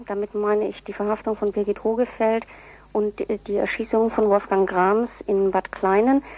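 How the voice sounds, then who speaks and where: A woman being interviewed on radio, explaining things in an earnest, deliberate way. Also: mid-range voice, a little mellow, rough recording. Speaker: interviewee, activist for prisoners' relatives newsletter.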